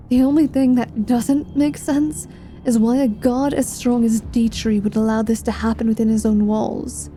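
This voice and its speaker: A woman's voice: a little high, mellow, close to an everyday voice. A woman playing a wry, foul-mouthed adventurer and reluctant hero in an intimate ASMR fantasy roleplay. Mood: curious.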